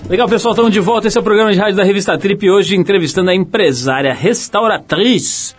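Relaxed São Paulo radio host. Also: male voice, high-pitched, quick pace, soft background music. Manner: relaxed, conversational, frequent "né?". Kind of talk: radio interview.

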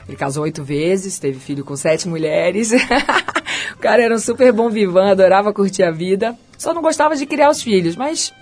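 Lively Carioca woman telling her story. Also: fairly quick, faint music behind. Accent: Carioca Brazilian Portuguese. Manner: lively storyteller, pitch swinging high and low. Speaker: chef and restaurant owner, guest. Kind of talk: radio interview, telling her life story.

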